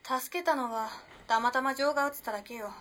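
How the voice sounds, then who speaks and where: An actress playing a composed, enigmatic young modern woman in a period Japanese radio play, speaking in a cool, measured, teasing way. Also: high-pitched, fairly bright tone. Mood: aloof, wistful. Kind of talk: dramatic radio play acting. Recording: clear background noise and ambience.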